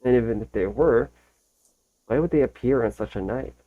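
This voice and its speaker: A man hosting a casual reaction video, reading text aloud a little haltingly and going back over phrases to correct himself. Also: medium pitch, narrow, tinny audio.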